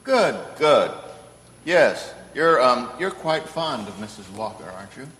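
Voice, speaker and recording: Kindly old Santa, radio play, swooping expressive pitch, gentle avuncular manner, echoey hall. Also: male voice, measured pace. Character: kindly, jolly old man who is Santa Claus. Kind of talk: old-time radio drama acting. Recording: echoey hall, faint noise.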